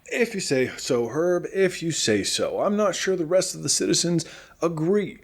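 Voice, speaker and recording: Cheerful male radio presenter for apocalypse comedy show, man acting, high voice, very animated delivery, earnest and encouraging. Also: moderate pace, creaky. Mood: encouraging, patient.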